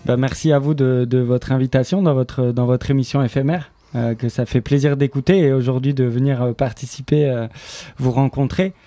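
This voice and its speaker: Mellow, hesitant man in interview. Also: mid-range voice, steady tone, faint music behind. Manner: hesitant, halting delivery. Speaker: studio member presenting an audio fiction series, interview guest. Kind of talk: spontaneous radio interview.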